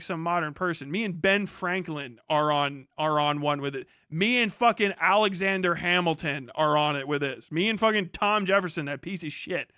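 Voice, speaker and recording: An American man with a high-pitched, sharp and clear voice rants to his livestream. He is forceful and foul-mouthed, hammering his points and voicing imagined exchanges. The sound is clean and smooth-toned. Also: no echo, clean studio sound. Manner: forceful, emphatic, sweary, acts out imagined dialogue. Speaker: streamer. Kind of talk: impassioned, profane livestream rant.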